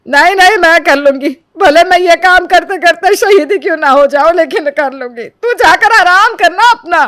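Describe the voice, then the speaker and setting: A woman's voice: extremely high pitch, expressive, moderate pace, a bit bright, no echo, clean studio sound, pitched above the performer's own voice. A woman voicing a scheming, domineering mother-in-law in a storybook tale, scolding and theatrical. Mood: wailing martyr. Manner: scolding, theatrical, switches to martyr-like fussing. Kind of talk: Hindi moral-story voice acting.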